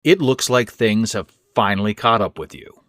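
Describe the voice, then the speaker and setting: A man voicing a frail, scornful old stepfather in a horror audio story, with a hoarse, rasping voice and a bitter, forceful manner. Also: lowish voice, animated, moderate pace, dry recording, studio quality, pitched above the performer's own voice.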